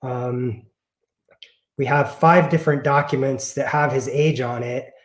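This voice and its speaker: A man informally presenting research findings over an online call, with a halting, hesitant delivery. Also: mid-range voice, fairly even intonation, echoey room.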